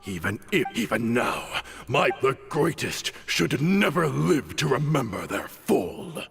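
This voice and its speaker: A man's voice: medium pitch, very animated, measured pace, creaky, heavily acted. A man playing a defiant, zealous desert warrior commander in a video game, speaking in a grandiose, declamatory way. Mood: grimly proud. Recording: loud music under the voice.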